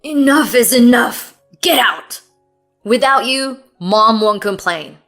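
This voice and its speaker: A woman voicing an angry, domineering husband who shouts harsh commands in a storybook narration. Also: medium pitch, expressive, measured pace, soft background music. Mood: furious.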